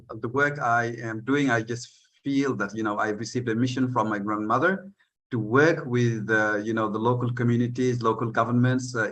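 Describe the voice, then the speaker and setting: A man from northern Senegal speaks on a panel discussion over a video call. He talks in a hesitant, searching way, with frequent fillers. His pitch sits in an ordinary middle range. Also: a bit bright. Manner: hesitant, with many fillers and "you know". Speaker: panel speaker, eco-village leader. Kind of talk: unscripted speech on a panel.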